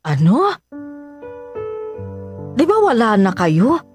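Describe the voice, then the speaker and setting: A man's voice: extremely high pitch, huge pitch swings, slow, full character voice. A man voicing a worried, nagging middle-aged mother in a radio drama, fussing over her grown son. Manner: fussy, scolding, motherly. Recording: dry studio with music bed.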